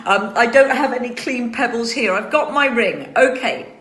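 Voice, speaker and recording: A woman's voice: on the high side, normal speed, fairly bright tone, roomy sound. A woman hosts a casual chat from home, answering viewer questions. She speaks with carefully trained, precise articulation. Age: 60s. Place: home room.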